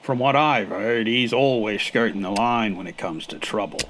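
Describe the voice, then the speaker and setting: A man's voice: on the low side, moderate pace, a bit bright, natural voice. A man playing a shadowy impostor in an old-time radio drama, insinuating and politely insistent in his delivery. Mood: sly, menacing. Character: shadowy smooth-talking impostor posing as studio newcomer, a man. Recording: noticeable background noise.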